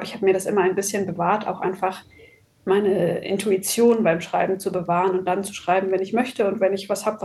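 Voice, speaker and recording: A woman's voice: mid-range voice, darkish tone. A woman speaking as an author being interviewed, chatty and reflective, articulate, with the odd English word mixed in. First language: German. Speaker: author and copywriter, interview guest. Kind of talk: casual, reflective interview conversation.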